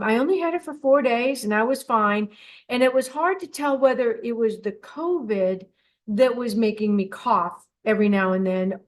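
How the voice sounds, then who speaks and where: An older woman hosting a radio show alone, talking in a chatty, encouraging way with frequent restarts. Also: fairly high pitch. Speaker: host of a dream radio show. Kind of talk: casual solo radio talk.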